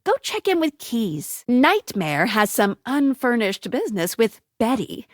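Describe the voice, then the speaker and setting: A woman's voice: on the high side, big pitch swings, unhurried, no room sound, clean studio sound. A woman voicing a peppy, helpful young female guide in a dating video game, with a bubbly, theatrical, sing-song delivery. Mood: chirpy, helpful. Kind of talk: scripted game hint dialogue.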